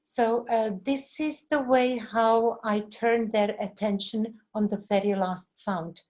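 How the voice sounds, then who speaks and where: A middle-aged woman with a Czech accent, a native Czech speaker, teaching in an informal webinar with halting, searching delivery. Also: a little high, fairly even intonation, darkish tone. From Czech Republic. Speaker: language teacher giving a webinar. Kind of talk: informal lecture with explanations and answers.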